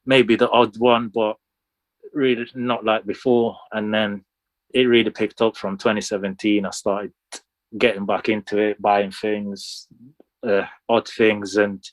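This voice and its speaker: A man in his thirties, originally from Sudan, speaks as a guest in an interview. His voice sits a little low. He tells his story in a casual, conversational way, recorded close and dry with very little room sound. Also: little pitch movement, a little slow, no echo, rough recording. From Sudan, moved to the UK when young. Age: 30s. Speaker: interview guest, sneaker collector.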